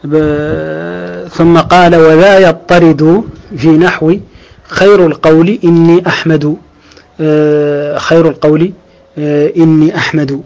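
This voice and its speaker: A man teaching Arabic grammar, explaining slowly with drawn-out pauses and restarts, and reciting quoted verses. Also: fairly high pitch, little pitch movement, vocal fry, darkish tone, low-quality recording. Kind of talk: lecture explaining a grammar text.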